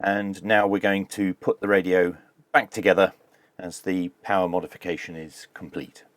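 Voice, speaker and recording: A man hosting a hands-on tech demonstration, explaining each step in a measured, informal way. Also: low voice, level tone, no room sound. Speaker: host of a radio tech review channel.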